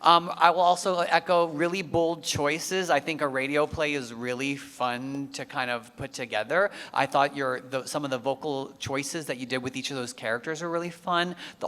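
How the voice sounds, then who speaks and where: A man giving casual feedback to performers as an adjudicator, halting and repeating words as he goes. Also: medium pitch, a bit bright. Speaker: festival adjudicator.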